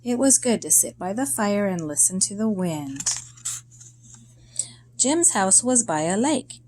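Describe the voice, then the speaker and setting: A woman reads a children's story aloud at an unhurried, careful pace. Her voice sits at a medium pitch, and she shapes short phrases expressively with pauses between them. A faint noise runs behind her. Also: big pitch swings, darkish tone.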